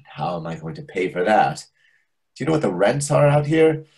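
Waiter for a play reading: needy complainer, husky, highly animated delivery. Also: male voice, normal speed, exaggerated voice. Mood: complaining. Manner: confessional, complaining. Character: self-pitying, needy, chatty male waiter stuck in depression. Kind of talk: readers theatre over video call. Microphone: video call.

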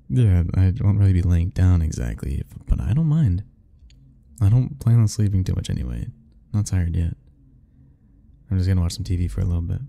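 Low gravelly teasing young man. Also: expressive, normal speed, pauses often, dark tone. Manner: teasing, playful, laughing often. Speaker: ASMR roleplay narrator. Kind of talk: ASMR boyfriend roleplay, talking to the listener.

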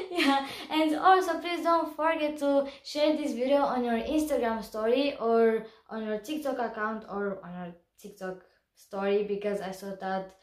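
A young woman from Romania with a Romanian accent, chatting to camera in a giggly, animated vlog. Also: on the high side, a little slow, roomy sound. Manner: bubbly, giggly, gestures a lot. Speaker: vlogger. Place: small room indoors.

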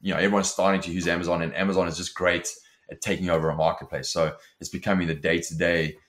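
A man's voice: low voice, little pitch movement, fast, fairly bright tone. A man in his forties with a South African accent, a company founder talking casually as an interview guest over a video call, with many restarts and fillers. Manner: many restarts and fillers, fluent and forward-driving. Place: home office with shelves.

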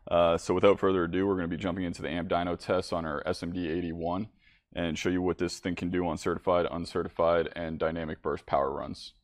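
Deep-voiced man presenting a product test. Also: flat delivery, quick pace, dry recording, studio quality. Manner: informal, addressing viewers directly. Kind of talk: casual product demo narration.

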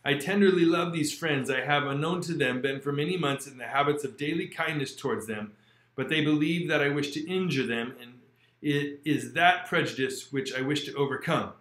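A man's voice: fairly high pitch, moderate pace, fairly bright tone, natural voice. A man voicing a lonely, shunned male creature who pleads in a formal, earnest way. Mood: pleading. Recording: roomy echo.

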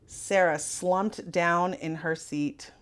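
A woman reading a children's storybook aloud in an expressive narrating style. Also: on the low side, slow pace. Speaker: storybook reader.

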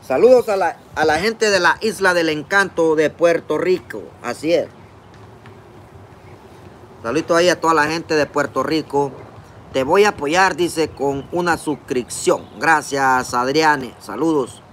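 Honduran guy on a phone livestream in a park, friendly, reads out chat names, voice sits a bit high, light traffic hum. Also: a little slow, a bit bright. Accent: Honduran Spanish. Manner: friendly, greets viewers by name, eating between lines. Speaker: livestream vlogger reading chat. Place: outdoors in a park, seated at a table. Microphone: phone camera close up.

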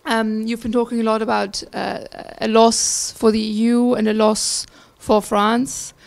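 Young woman from Austria, gravelly voice. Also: a little high, level tone, measured pace. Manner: earnest, with many fillers. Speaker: student discussant. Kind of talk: asking a question at a seminar. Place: lecture room. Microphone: handheld mic.

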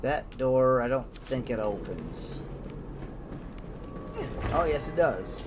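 A man with a mid-pitched voice gives casual, informal gameplay commentary. He mutters to himself in short phrases as he thinks aloud. The recording is clearly noisy, with game ambience and rumble behind the voice. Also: expressive, takes it slowly. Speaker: gamer, let's play host. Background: horror game ambience and machinery rumble.

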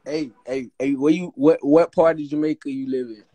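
A young man hosting a phone livestream from a small indoor room, talking in brash, swearing, quick banter. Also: a little high, fairly even intonation, dark tone. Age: young adult. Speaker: livestream host. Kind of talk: casual livestream chat. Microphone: phone held close to the face.

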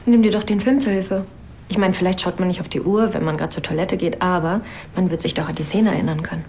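Quick, brisk policewoman played by a woman. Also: lowish voice, steady tone, a little mellow, a little hiss or hum, close to an everyday voice. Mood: brisk, helpful. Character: brisk, practical police colleague, female.

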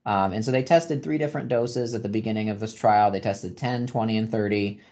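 A man's voice: fairly low pitch, brisk, warm tone. A man answering as a physician panelist on a video call, explaining step by step and repeating phrases for emphasis. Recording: dull video-call audio.

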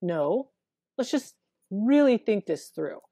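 Deep-voiced woman, therapist guest, earnest and candid, mellow tone, dry sound, earphone mic on a video call. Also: sing-song, takes it slowly, husky, no echo. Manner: frank and earnest, thinks aloud with fillers and restarts. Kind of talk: candid interview conversation. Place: small room at home with a window.

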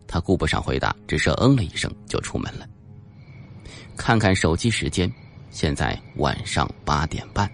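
A man narrating a suspense novel as an audiobook, with a dramatic, deliberate storytelling delivery. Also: a bass voice, sing-song, creaky, fairly bright tone.

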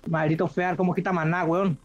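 A man's voice: a little high, flat delivery, a little fast. A man bantering casually in slangy, foul-mouthed style while gaming. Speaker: online gamer. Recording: dull lo-fi voice chat, music behind.

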